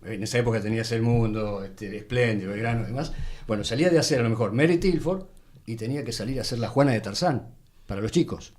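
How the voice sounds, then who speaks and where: Hombre argentino contando anécdotas, coloquial y expresivo. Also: male voice, medium pitch, fast talker, darkish tone. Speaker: interview guest. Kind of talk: casual anecdotal storytelling.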